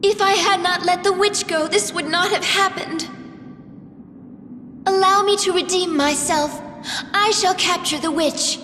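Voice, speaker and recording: An actress playing a dutiful, earnest warrior princess in a video game, formal and respectful. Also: female voice, very high-pitched, measured pace, sharp tone, echoey room, big-room sound, a little hiss or hum, full character voice. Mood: earnest, contrite. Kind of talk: dramatic video game acting.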